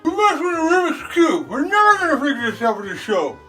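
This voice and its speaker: A man's voice: extremely high pitch, huge pitch swings, a little fast, pushed and bright, soft background music, exaggerated voice. A man voicing a grumbling male set crew worker who complains in a comedy sketch. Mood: griping. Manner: complaining, griping.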